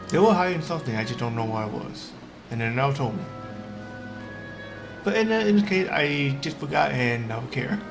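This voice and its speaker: A man chats over gameplay as a streamer. His talk is informal and halting, a mid-pitched voice with game music underneath. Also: animated, poor audio. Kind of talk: casual reacting and rambling over gameplay.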